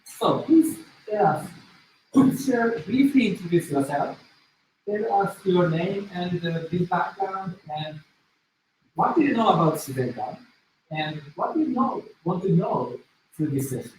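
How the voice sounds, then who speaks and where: A man with a Japanese accent lecturing to a class in a deliberate, halting way, as a native Japanese speaker. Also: sits high, very animated, darkish tone. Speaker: business school lecturer. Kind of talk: interactive demo lecture with self-introduction. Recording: very echoey room, distant mic, faint noise.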